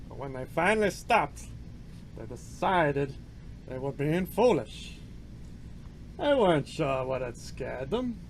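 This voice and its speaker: A man reads a scary story aloud, taking his time and drawing words out dramatically. His voice is on the higher side and moves in lively swoops. The recording carries faint background noise. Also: low-quality recording. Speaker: library staff reader. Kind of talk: spooky story reading.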